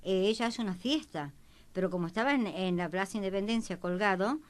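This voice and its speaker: A woman with an Argentine accent joins a panel discussion with anecdotes. Her pitch is average for a woman. She speaks conversationally, halting and correcting herself as she goes. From Tucumán, Argentina.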